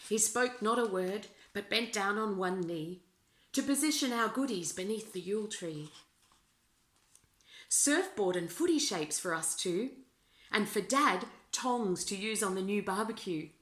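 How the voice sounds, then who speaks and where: A woman reading rhyming children's verse aloud as an expressive storyteller. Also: medium pitch, unhurried. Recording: roomy, echoing indoor sound.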